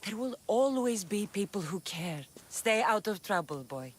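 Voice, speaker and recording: A woman's voice: medium pitch, expressive, unhurried, long reverb tail. An actress playing a tough but caring female mercenary of ancient Greece in a video game cutscene. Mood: gentle, consoling.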